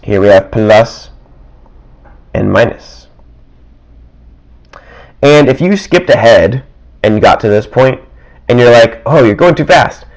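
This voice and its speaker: A man teaching math in a casual, worked-example way, with a raspy, dry-throated voice that keeps clearing, thinking aloud with small chuckles and asides from his desk. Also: mid-range voice, lively intonation, slow, pauses often, rough recording. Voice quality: raspy, getting rougher.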